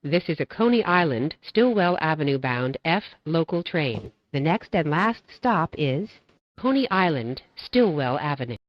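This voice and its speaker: A woman reading scripted train announcements as a transit announcer, in a measured, formulaic way. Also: low voice, very animated, highs cut off.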